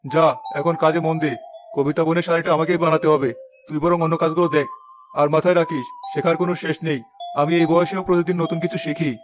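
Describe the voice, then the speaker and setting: A man voicing a principled older village weaver father in a moral-story cartoon, giving measured advice and polite admonishment. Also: a little high, level tone, music in the background, natural voice. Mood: admonishing.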